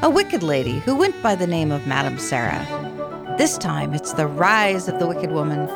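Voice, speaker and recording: A woman's voice: low voice, very animated, normal speed, vocal fry. A woman narrating and announcing a radio drama in a dramatic, scripted style. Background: loud violin music.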